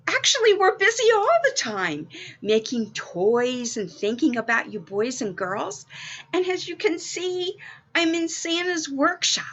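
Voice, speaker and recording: A woman's voice: a little high, a bit bright, lo-fi sound. A woman playing a cheerful, motherly Mrs Claus, reading a story aloud to young children and putting questions to them. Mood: welcoming, excited. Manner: exclaiming, addressing young children directly with questions.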